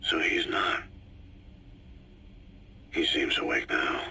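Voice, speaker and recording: An extremely deep, hoarse-edged and creaky male voice as a legendary video game soldier. He is gruff and stubborn, middle-aged, and clips his words drily with a world-weary bite. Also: slow pace, bright, forceful tone, whispered, heavily acted. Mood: terse, wary. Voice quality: gravelly, raspy. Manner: terse, dry, world-weary. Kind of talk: scripted video game dialogue.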